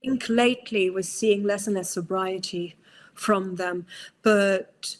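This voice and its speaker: A woman's voice: medium pitch, takes it slowly. Norwegian woman with a British-tinged accent. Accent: British-tinged English. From Norway. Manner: thoughtful, many fillers and restarts. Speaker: guest psychologist. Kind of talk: long-winded interview answers.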